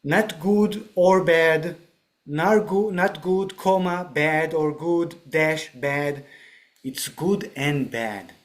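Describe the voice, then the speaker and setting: A man with a fairly high voice speaks unhurriedly, taking his time over each thought. He explains spiritual ideas in a reflective, teaching way and keeps checking that the listener is following with a quick "right?". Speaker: podcast guest. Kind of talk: spiritual discussion.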